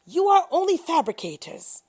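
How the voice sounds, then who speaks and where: Woman voicing an impassioned prophet crying out, high-pitched. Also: big pitch swings, moderate pace, a little mellow. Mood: urgent exhortation. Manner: impassioned, pleading, declamatory. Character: male prophet crying out fervently to God and people.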